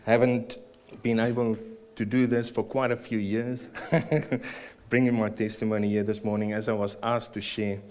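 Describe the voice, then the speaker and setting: A man with a South African accent sharing his personal testimony and prayer at a church service, earnest and a little nervous, with halting fillers. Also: on the low side, level tone, a little mellow. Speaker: church member giving testimony.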